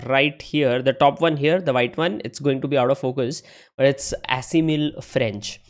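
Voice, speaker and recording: A young man talks through a language tip in a relaxed, unscripted way. He often checks in with a quick "right?" and corrects himself mid-word. His voice sits at an ordinary middle pitch for a man. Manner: conversational, frequent "right?" tags and self-corrections. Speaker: English-learning YouTuber. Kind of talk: casual spoken tutorial.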